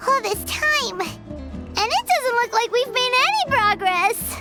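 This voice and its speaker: A woman voicing a small, excitable, dramatic fairy companion with a squeaky, exclamatory delivery in a video game. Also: slow, over music, heavily acted. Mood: defeated, whiny. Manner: squeaky, refers to self in third person, exclamatory.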